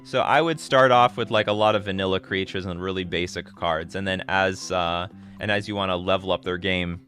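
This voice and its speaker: A man in his thirties hosting a casual livestream, answering viewer questions in a rambling, excitable way, often restarting his sentences. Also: sits low, brisk, faint music behind. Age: 30s. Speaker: livestream host.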